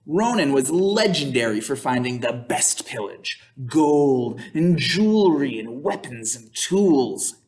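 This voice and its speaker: A man reading a picture book aloud to children as a storytime host, theatrical and exclaiming, with playful asides. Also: mid-range voice. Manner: theatrical, exclaiming, drawn-out emphasis.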